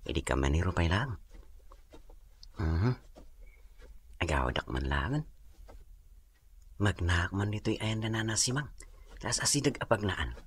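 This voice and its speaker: A man's voice: deep voice, expressive, a little slow, pauses often. Man as plain-spoken young Ilocano nephew, slightly noisy. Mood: musing. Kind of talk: Ilocano comedy radio drama acting.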